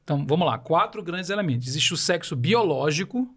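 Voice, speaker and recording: A man's voice: fairly high pitch, expressive, fairly quick, fairly bright tone, breathy edge. A man from Belém do Pará with a Paraense accent, explaining ideas on a podcast and asking rhetorical questions as he goes. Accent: Paraense Brazilian Portuguese. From Belém do Pará, Brazil. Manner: didactic, uses rhetorical questions. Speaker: podcast guest.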